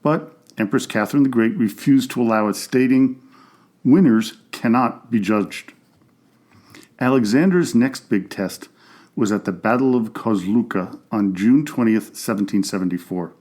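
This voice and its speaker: A man narrates a history podcast from a script. His voice is mellow and warm, and his delivery stays steady and informative, with a middle pitch. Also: unhurried.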